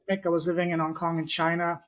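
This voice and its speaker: A man's voice: fairly high pitch, little pitch movement, racing, fairly bright tone. Guy from france, non-native english, earnest storyteller, lots of uhs, call audio. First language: not English. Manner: earnest storytelling with many "uh" fillers. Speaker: guest. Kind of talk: online interview. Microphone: video call.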